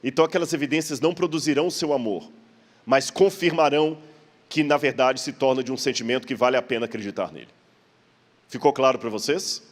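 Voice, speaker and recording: A man's voice: medium pitch, quick pace. Brazilian male preacher in a reverberant hall. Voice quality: slight stammer. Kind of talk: sermon with rhetorical questions. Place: large church hall.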